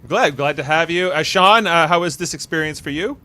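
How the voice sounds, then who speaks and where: A man hosting a casual group podcast chat, speaking in a halting, rambling way. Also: on the high side, bright, forceful tone. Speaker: host of a fan script-reading podcast.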